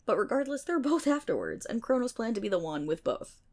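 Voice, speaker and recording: A woman's voice: a little high, big pitch swings, a little fast, a little mellow. A woman playing a chatty, irreverent nerdy best friend, rambling wryly and sarcastically in a casual ASMR storytelling roleplay. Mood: wry, playful. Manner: rambling, wry, sarcastic, theatrical asides.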